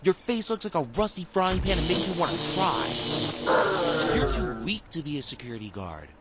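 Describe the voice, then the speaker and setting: A bold, sassy young girl from a video game, played by a woman. She is spirited and taunting, with very animated pitch over loud music. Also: moderate pace, lightly acted. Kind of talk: video game cutscene acting.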